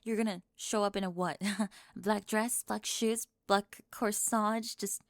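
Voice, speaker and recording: A woman's voice: medium pitch, fairly even intonation, unhurried, no room sound, studio quality, close to an everyday voice. A woman playing a bossy, dramatic teenage cheerleader, haughty and sarcastic, sputtering defensively in an audio roleplay. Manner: haughty, sarcastic, pompous when cornered, sputtering. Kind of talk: ASMR audio roleplay acting.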